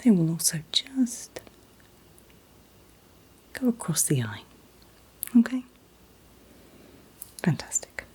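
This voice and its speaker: A woman in a gentle, soothing ASMR roleplay as an optician, whispering breathily and reassuringly without hurry. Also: on the low side, very animated, slow pace, long pauses. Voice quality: breathy, mostly unvoiced whisper. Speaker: ASMR performer playing an optician.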